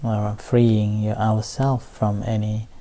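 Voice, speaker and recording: Slow, mellow male meditation teacher. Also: on the low side, level tone, a little hiss or hum. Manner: halting, measured phrasing with long pauses. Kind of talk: guided meditation talk.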